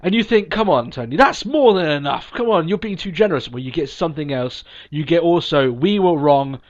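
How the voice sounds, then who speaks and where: A man's voice: a little high, expressive, fairly quick. Sniffly man hosting a podcast. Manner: upbeat, rambling, with frequent ums and restarts. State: sniffly from a cold. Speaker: podcast host. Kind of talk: casual podcast chat. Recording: dull lo-fi podcast recording.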